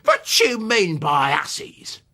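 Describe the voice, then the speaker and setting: Man acting a shrill, swooping quarrelsome old London woman. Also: wildly swooping pitch, bright, forceful tone, heavily acted. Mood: scornful. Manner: theatrical, drawn-out, indignant. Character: quarrelsome gossiping old Cockney woman, indignant and scolding.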